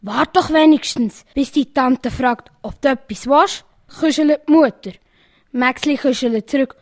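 High animated woman with a Swiss accent. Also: measured pace, no echo, studio quality. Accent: Swiss German. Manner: animated storytelling, acting out quoted lines. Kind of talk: reading jokes aloud.

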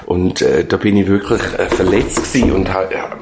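A man with a low, gravelly voice and a Swiss German accent. He is an older former teacher answering interview questions, haltingly and reflectively, stopping to search for his words. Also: fairly even intonation, noisy background. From Switzerland. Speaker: former schoolteacher being interviewed.

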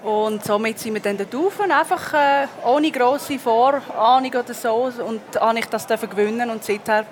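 A woman's voice: a little high, normal speed, few pauses, a little hiss or hum. A woman in her thirties with a Swiss German accent, a singer answering interview questions in a chatty way with many fillers. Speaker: guest singer.

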